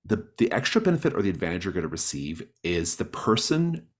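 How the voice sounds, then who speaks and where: A man's voice: low voice, big pitch swings, a little fast. A man working as game master, narrating and reading rules conversationally while guiding players with encouraging questions. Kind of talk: narrating a tabletop roleplaying game, part read from rules and part conversational.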